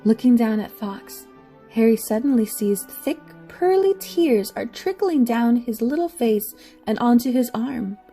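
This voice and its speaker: A woman's voice: on the high side, animated, measured pace, warm tone, soft background music. A woman reading scripted story narration for an audio drama.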